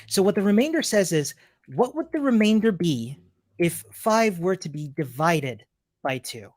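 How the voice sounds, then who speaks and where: A woman's voice: lowish voice, very animated, moderate pace, a little mellow. A woman from Chile teaching a live-streamed coding lesson, upbeat and chatty as she greets viewers and walks through her shared screen. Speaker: coding instructor.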